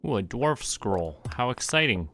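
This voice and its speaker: A man's voice: medium pitch, big pitch swings, measured pace, background noise. A man giving casual video game livestream commentary, musing aloud in short remarks. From Canada. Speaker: streamer.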